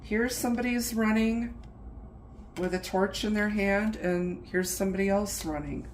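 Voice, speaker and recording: A woman's voice: mid-range voice, steady tone, normal speed, echoey room, slight background noise. A middle-aged woman, a little stuffy from the flu, giving a casual tarot reading and musing aloud over the cards. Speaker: tarot reader.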